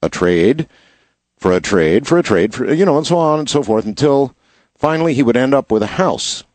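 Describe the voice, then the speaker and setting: A slightly hoarse man hosting a show, reading news headlines in a casual style and adding wry asides. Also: mid-range voice, expressive, normal speed, a little mellow, dry recording. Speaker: show host.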